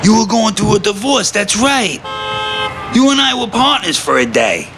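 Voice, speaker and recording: An actor playing a blunt, wisecracking New construction worker in an audio play, with a sardonic, needling delivery. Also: male voice, high-pitched, sing-song, moderate pace, creaky, full character voice. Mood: matter-of-fact. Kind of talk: naturalistic audio play dialogue. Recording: noisy city street with traffic. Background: urban street ambience, vehicles.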